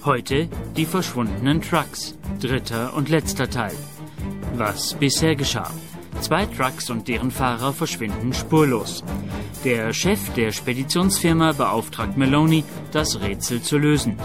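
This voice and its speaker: Casting: male announcer, mid-pitched, scripted episode intro and recap for radio drama, clear music bed. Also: unhurried.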